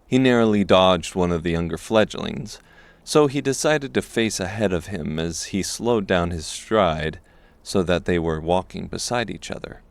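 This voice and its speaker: Male narrator for dark fantasy: very low-pitched voice, scripted storytelling, dry studio-close sound. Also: moderate pace, creaky, no room sound. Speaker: audiobook narrator.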